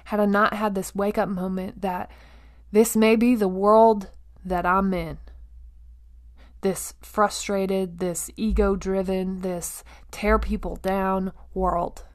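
A woman hosting a motivational monologue, speaking directly to the listener with emphatic phrasing, deliberate pauses, repetition and rhetorical check-ins. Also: medium pitch, steady tone. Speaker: podcast host.

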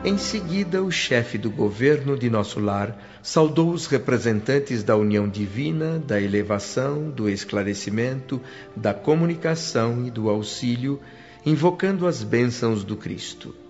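A man narrating a radio drama in a calm, reverent way, over soft music. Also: medium pitch, moderate pace. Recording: dull lo-fi radio-drama recording.

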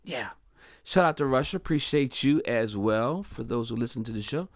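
A man from Delaware hosting his own podcast, talking in a casual, upbeat, chatty way and giving slangy shout-outs to listeners. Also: mid-range voice, expressive, moderate pace, no room sound, clean studio sound. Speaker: podcast host. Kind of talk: casual solo podcast monologue.

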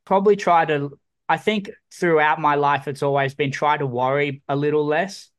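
A man's voice: fairly high pitch, fairly even intonation, moderate pace, smooth. A man, hosting an easygoing interview, talking in a reflective way with lots of fillers. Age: 20s. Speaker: podcast host. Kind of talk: casual interview chat.

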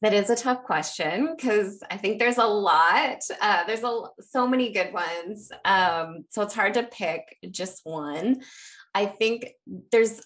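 A woman's voice: mid-range voice, slow, fairly bright tone. A woman co-hosting a podcast, speaking earnestly and with some hesitation about work that moved her. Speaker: podcast co-host. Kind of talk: casual interview chat.

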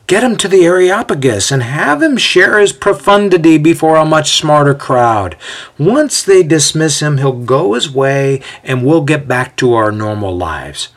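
A man's voice: fairly high pitch, big pitch swings, measured pace, pitched above the performer's own voice, heavily acted. A man voicing a snide, smirking tease in an audio storybook, with a mocking manner. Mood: dismissive. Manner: mocking, teasing.

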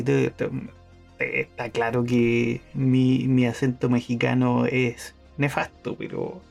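A man's voice: mid-range voice, gravelly, a little mellow. A man hosting a podcast, talking through his thoughts in a casual monologue, hesitant, with many fillers and restarts. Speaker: podcast host.

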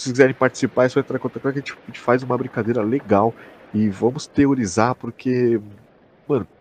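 A man's voice: medium pitch, a little mellow, a little hiss or hum. A Brazilian man chatting casually and enthusiastically as a podcast host, slangy and emphatic, with some swearing. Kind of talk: casual chat, enthusiastic review.

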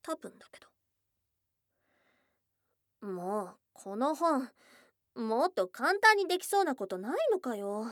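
A woman voicing a shy young goblin girlfriend in an intimate ASMR voice drama, blunt and tomboyish in wording but flustered and tender, with breathy murmurs close to the mic. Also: fairly high pitch, sing-song, measured pace, long pauses, a bit bright, no room sound. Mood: hesitant, curious. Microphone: close ASMR microphone.

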